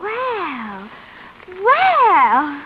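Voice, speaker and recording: Very high, gushing slick dame. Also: female voice, huge pitch swings, very slow, exaggerated voice. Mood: coy. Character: slick, gushing, cool young female accomplice photographer. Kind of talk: 1940s radio comedy-thriller acting. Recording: muffled, noisy old radio recording.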